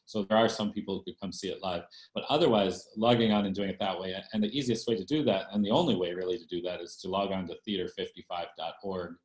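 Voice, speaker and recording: Low-voiced man in a roomy space. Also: fast, hardly any pauses. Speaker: theater director, interview guest. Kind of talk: conversational interview answers. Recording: roomy echo, faint music.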